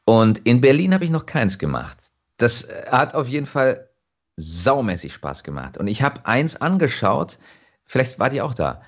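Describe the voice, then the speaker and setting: A man chatting casually as an actor being interviewed, telling stories in an animated way. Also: mid-range voice, moderate pace.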